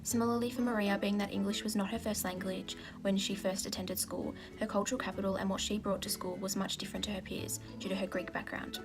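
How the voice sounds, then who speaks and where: Fast-reading young woman. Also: medium pitch, level tone. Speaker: university student. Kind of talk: scripted analytical narration. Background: background music.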